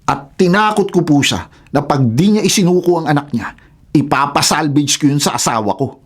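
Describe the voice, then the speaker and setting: A man's voice: a little high, lively intonation, normal speed, slightly rough. A man acting as an indignant, righteous husband in a Filipino radio drama. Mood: respectful.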